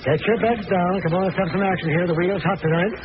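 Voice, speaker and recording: Through a very noisy, muffled old radio recording comes a man playing a casino croupier. His voice is high and he calls out brisk, businesslike patter, in 1940s radio style. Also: close to an everyday voice. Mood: businesslike, rousing. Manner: patter, calling out to a room. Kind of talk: 1940s radio drama acting.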